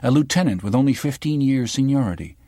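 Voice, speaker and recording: A man reading aloud and voicing a sharp-tongued young woman reporter who fires pointed, needling questions. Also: medium pitch, animated, quick pace, no room sound, clean studio sound, voice pushed up, lightly acted. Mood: probing.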